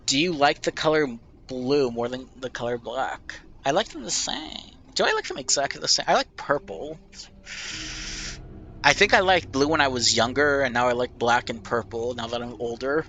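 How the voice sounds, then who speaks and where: Young male gamer, nasal and bright, thinks aloud with rambling, self-deprecating answers. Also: mid-range voice, expressive, normal speed, a little hiss or hum. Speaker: gamer playing a question game. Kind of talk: casual reflective commentary.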